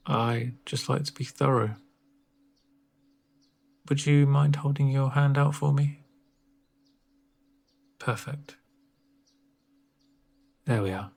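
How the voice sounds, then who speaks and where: A man speaking softly in an ASMR roleplay as a doctor, with a gentle, reassuring, unhurried manner and a breathy voice. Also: medium pitch. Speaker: ASMR performer playing a doctor. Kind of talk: soft-spoken ASMR roleplay.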